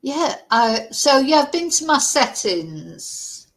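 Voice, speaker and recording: A woman's voice: sits high, big pitch swings, takes it slowly. A woman hosting a true crime livestream, reading news aloud and adding chatty, opinionated asides. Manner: chatty, opinionated, drifting from article to own asides. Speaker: true crime channel host.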